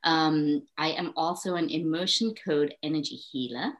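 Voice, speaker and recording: Woman, forties, low voice, lively casual chat, frequent laughter, thinking-aloud ums. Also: normal speed. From Hong Kong. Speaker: guest, parenting coach.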